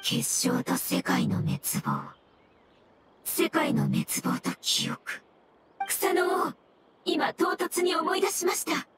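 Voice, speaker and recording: A woman voicing a polite, wise floating fungus spirit in a game dub, with formal, measured phrasing. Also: extremely high pitch, animated, heavy background noise, heavily acted. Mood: solemn, recalling. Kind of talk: Japanese game dub dialogue. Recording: loud background music behind voice.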